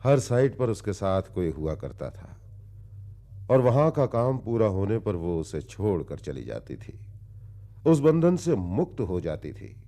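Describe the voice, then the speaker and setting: Brooding, low-voiced world-weary wanderer. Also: male voice, very animated, warm tone. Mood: reflective, resigned. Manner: brooding, deliberate, with long pauses. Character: world-weary philosophical wandering man recounting his past. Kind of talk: dramatic radio-play storytelling in formal literary diction.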